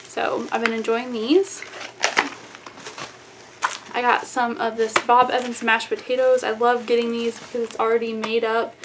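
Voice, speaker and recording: Chatty high-voiced young American woman vlogger. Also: flat delivery, moderate pace, long pauses. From Texas, United States. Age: 20s. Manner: chatty, informal, says "like" and "y'all" often. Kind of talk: casual grocery haul chat to camera. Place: small plain indoor room. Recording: clearly noisy room background.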